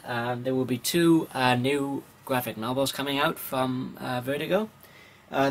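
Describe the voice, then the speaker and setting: A man from Wales gives an informal vlog-style news rundown in a halting, hesitant way, pausing often with ums. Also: medium pitch. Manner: halting, hesitant delivery with frequent pauses and ums. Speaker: comics vlogger.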